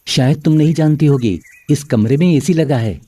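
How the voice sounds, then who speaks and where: A man's voice: fairly high pitch, moderate pace, warm tone, dry recording, natural voice. A man voicing an earnest, protective young husband in a moral-story cartoon. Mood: reassuring.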